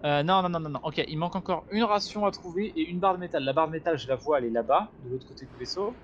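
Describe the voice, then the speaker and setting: A man talks through a co-op game, pointing things out and explaining how it works. His voice sits a little high, and a faint hiss runs under the recording. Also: quick pace, dull audio. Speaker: gamer, co-host of a gaming channel. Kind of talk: casual co-op gameplay chat.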